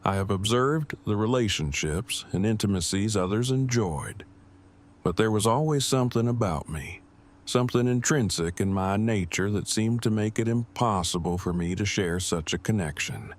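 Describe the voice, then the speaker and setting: A man playing an awkward, bookish groom in an audio drama, sincere, with stiff, measured, wordy phrasing. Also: lowish voice, lightly acted. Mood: reflective. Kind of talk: fan audio drama acting.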